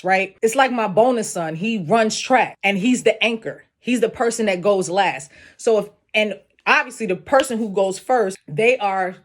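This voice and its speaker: A young adult woman with a deep, raspy voice, hosting a casual solo show where she breaks down rap lyrics with animated, profane emphasis.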